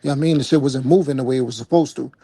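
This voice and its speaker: A man's voice: medium pitch, racing, mellow, husky, no echo. A man from the United States on a casual live stream, talking laid-back and filling his talk with "you know what I'm saying.". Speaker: guest battle rapper.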